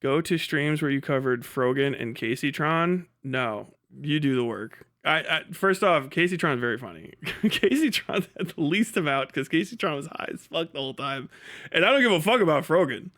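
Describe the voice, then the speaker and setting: A man with a somewhat high-pitched voice talks casually as a livestream host. He is blunt and foul-mouthed, rambling through commentary and often muttering to himself as he goes. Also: expressive, normal speed, a bit bright. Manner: profane, blunt; rambling, muttering asides to self.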